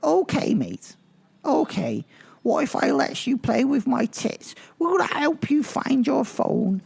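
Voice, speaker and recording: Man putting on a shrill, coarse streetwalker. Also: wildly swooping pitch, slow, creaky, a little mellow, slightly rough, exaggerated voice. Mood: haggling. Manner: coarse slangy begging and haggling, blunt. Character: worn-out streetwalking mother in her twenties, coarse, insistent.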